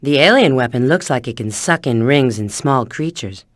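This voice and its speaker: A cool, flirty female bat treasure hunter from a video game. She gives knowing instructions in a very lively, swooping delivery. The sound is close and dry. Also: a bass voice, normal speed, dry recording. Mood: cool, instructive. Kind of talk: video game character voice acting.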